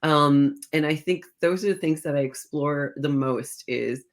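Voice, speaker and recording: A woman's voice: low voice, unhurried. A middle-aged woman speaking as an interview guest, an author telling stories conversationally and acting out bits of reported dialogue. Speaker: guest, historical fiction author.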